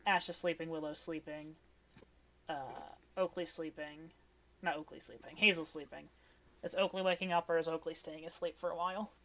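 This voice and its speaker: A woman's voice: low-pitched, steady tone, normal speed, fairly bright tone. A woman running a tabletop game as game master, narrating casually and off the cuff with informal, wry phrasing.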